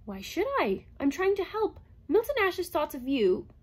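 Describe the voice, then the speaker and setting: A woman doing a gentle pleading male robot. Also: high-pitched, sing-song, a little slow, voice pushed up. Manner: soft, pleading, reassuring. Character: earnest gentle male mind-reading robot. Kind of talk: audiobook character reading.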